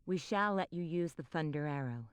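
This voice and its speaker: An actress playing a stately, commanding fantasy queen in a video game, speaking in formal, measured phrases. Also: low voice, animated, clean tone, dry recording. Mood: measured, grave. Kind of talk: scripted video game voice acting.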